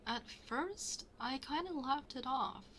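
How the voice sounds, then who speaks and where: A woman playing a smitten young best friend in an intimate ASMR roleplay, speaking softly and hesitantly, often half-whispering. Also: sits high, slow pace, a little hiss or hum. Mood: shy, tender. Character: smitten young best friend, shyly confessing, playfully teasing.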